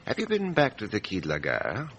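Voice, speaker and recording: Deep, animated, formal magistrate. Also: male voice, fairly quick, a little hiss or hum, exaggerated voice. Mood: formal, probing. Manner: formal, superior, probing. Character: formal, probing, sceptical examining magistrate, male. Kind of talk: radio drama acting.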